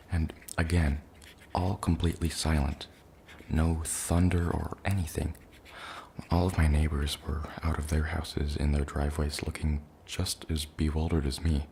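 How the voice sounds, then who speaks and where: Shaken male invasion survivor, played by a man: very low, fry-heavy, soft, halting testimony for ASMR drama. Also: a little hiss or hum, heavily acted. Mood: puzzled, hesitant. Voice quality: gravelly, creaky low voice. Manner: hesitant, halting, plainly worded recollection.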